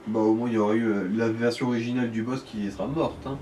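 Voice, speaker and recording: A man's voice: medium pitch, fairly even intonation, fast talker, a little mellow, distant mic. A man chatting casually as a laid-back co-commentator on a gaming session. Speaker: co-commentator on a let's play. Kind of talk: casual chat. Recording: faint background noise.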